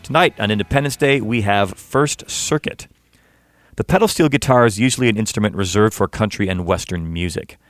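A man hosts a music interview show, moving from a measured, announcer-style script into easy chat with his guests. His voice sits a little low, and the studio sound is close and dry. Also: no room sound. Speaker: radio show host. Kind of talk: scripted intro then casual interview.

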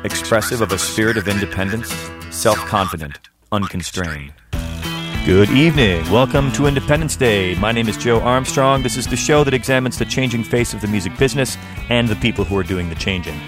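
A man's voice: on the low side, lively intonation. A man hosting a music interview show, reading a scripted introduction and then chatting with a band. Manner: measured, announcer-like delivery. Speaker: radio show host.

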